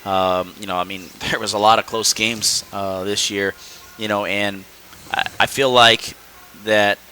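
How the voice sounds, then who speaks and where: Midwest high school coach guy, kinda low but bright voice, lots of uh and you know. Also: fairly even intonation, a little hiss or hum, low-quality recording. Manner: halting, many fillers and restarts. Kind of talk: casual podcast chat.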